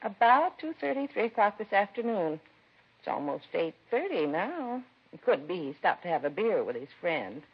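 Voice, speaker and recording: A middle-aged housewife with a husky, medium-pitched voice. She is fretful and puzzled, answering plainly in a 1950s radio drama with muffled sound. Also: very animated, normal speed.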